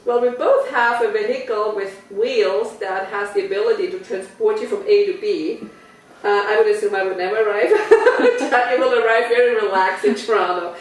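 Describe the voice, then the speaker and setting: A woman's voice: mid-range voice, moderate pace, far from the mic. Austrian-accented woman explaining in an echoey room. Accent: Austrian German. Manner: chatty and explanatory, asks listeners questions. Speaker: product demonstrator. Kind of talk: home party product talk. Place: living room of a private house.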